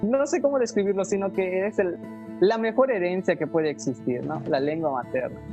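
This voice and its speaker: A young man from Yucatán, a Maya speaker, answering interview questions in a relaxed, friendly way with many fillers. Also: high voice, a little fast, over music. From Yucatán, Mexico. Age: young adult. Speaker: interviewee, Maya language teacher. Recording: dull lo-fi sound.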